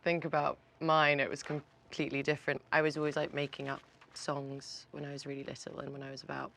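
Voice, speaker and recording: A woman songwriter whose first language is Albanian tells a childhood story in a lively way. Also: deep voice, a little fast, creaky. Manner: storytelling, animated. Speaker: songwriter guest. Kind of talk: casual chat. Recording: noisy background.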